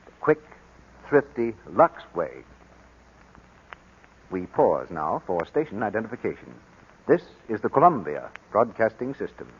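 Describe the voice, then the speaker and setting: A man's voice: lowish voice, sing-song, measured pace, pauses often, a little hiss or hum. An American man reading a 1940s radio advertisement as announcer, with emphatic salesmanship. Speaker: commercial announcer. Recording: dull 1940s radio recording.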